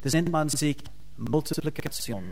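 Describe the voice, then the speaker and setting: American pastor, non-native speaker, halting sermon delivery, fervent, voice sitting a little high. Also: male voice. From United States. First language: English. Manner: halting, searching for words, many fillers and restarts; fervent bursts of praise. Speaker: pastor and Bible school teacher.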